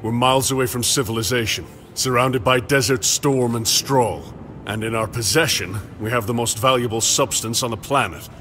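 An actor playing a grim, defiant space marine in a video game, speaking in a terse, serious way. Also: male voice, mid-range voice, fairly even intonation, normal speed, fairly bright tone, faint music behind, close to an everyday voice. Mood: grim, determined.

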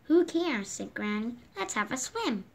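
A woman reading a children's picture book aloud at a gentle, unhurried pace, filmed indoors over the pages on a table, lifting her voice for the characters' lines. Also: on the high side, very animated, vocal fry. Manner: slow, gentle read-aloud pacing with lifted voices for quoted lines. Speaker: storybook reader. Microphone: phone or camera filming the book from above.

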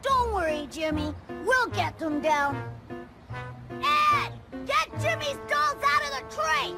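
A woman's voice: extremely high pitch, slow, vocal fry, over loud music, exaggerated voice. A woman voicing a bratty, demanding little sister in a cartoon video game, bossy and threatening. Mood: commanding.

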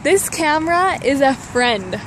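Young woman, high lively voice, playful teasing, phone at arm's length, outdoor noise. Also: unhurried. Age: young adult. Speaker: vlogger. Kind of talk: casual vlog chat. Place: outdoors in a city park and on a mountain trail. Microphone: phone held at arm's length.

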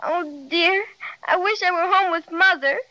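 A woman's voice: extremely high pitch, measured pace, bright, forceful tone, no room sound, heavily acted. Timid little girl. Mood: worried. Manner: earnest, wide-eyed. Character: timid young girl, polite, easily frightened. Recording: dull 1930s transcription, dry.

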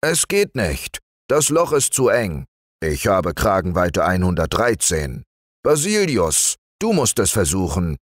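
A man voicing a vain, fussy male bear in a storybook reading, raising his voice high and making it whiny. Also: huge pitch swings, unhurried, no echo, clean studio sound, full character voice.

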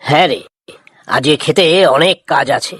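A woman voicing a calm, responsible farmer father with a husky voice in a children's cartoon. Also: low voice, normal speed, no echo, studio quality.

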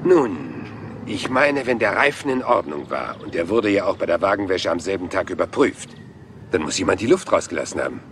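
Rumpled, deferential inspector; animated delivery, noisy dubbed track. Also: male voice, deep voice, fairly quick. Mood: polite. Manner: polite, meandering, deferential. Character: rumpled, persistent male police inspector. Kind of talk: dubbed TV crime drama.

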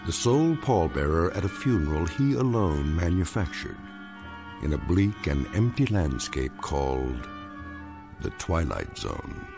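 A man giving the closing narration of a radio drama in a solemn, measured way. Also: deep voice, very animated, vocal fry, music in the background.

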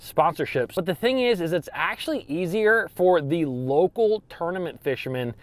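A man's voice: fairly high pitch, lively intonation, moderate pace, no room sound. A man in his thirties from Ohio hosting a fishing channel, talking casually and upbeat to a handheld camera inside his truck. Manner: chatty and upbeat, lots of "you know" and "actually". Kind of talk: casual vlog talk to camera. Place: inside a parked pickup truck, later outdoors in a parking lot. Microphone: handheld camera at arm's length.

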